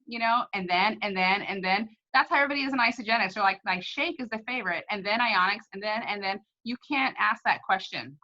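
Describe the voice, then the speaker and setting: Bright-voiced woman giving a casual testimonial. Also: medium pitch, highs cut off. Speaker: product promoter.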